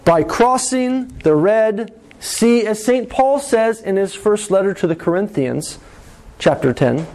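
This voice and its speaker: A man preaching a sermon to his congregation, slow and deliberate, with dramatic pauses and repetition. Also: high-pitched, expressive, a little mellow. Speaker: pastor.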